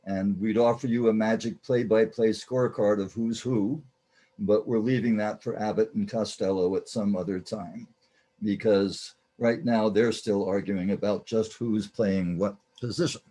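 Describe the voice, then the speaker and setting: A man hosting and narrating a radio play introduction in a deliberate, formally theatrical manner. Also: lowish voice, steady tone, mellow. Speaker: host, narrator.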